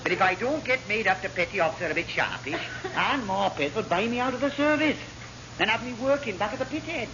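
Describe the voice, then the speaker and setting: An actor playing a scheming, ambitious Welsh naval rating in a radio comedy, conspiratorial and emphatic. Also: male voice, high voice, lively intonation, quick pace, lo-fi sound. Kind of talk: classic British radio sitcom acting. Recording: noisy, band-limited vintage radio recording.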